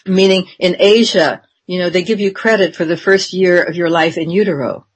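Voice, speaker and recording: A woman in her seventies, interviewed and reflecting on her life in a meandering, unhurried way, with frequent ums and restarts. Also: lowish voice, steady tone, slightly rough. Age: 70s.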